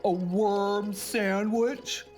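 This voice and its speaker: A woman's voice: medium pitch, fairly even intonation, extremely slow, darkish tone, soft background music. A woman voicing a blunt, mocking, easily disgusted schoolboy in a children's storybook. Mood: disgusted, teasing. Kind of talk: storybook character voice.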